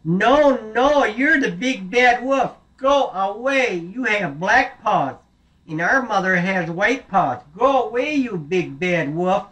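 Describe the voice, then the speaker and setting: A storyteller voicing a frightened little goat kid who pleads in a high, shrill way. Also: expressive, slow pace, a bit bright, low-quality recording. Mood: defiant.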